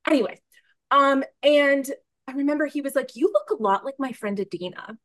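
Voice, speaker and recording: A woman's voice: high-pitched, fairly quick. A woman hosting a casual podcast interview, talking in an effusive, emphatic way and rambling off on tangents. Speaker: podcast host.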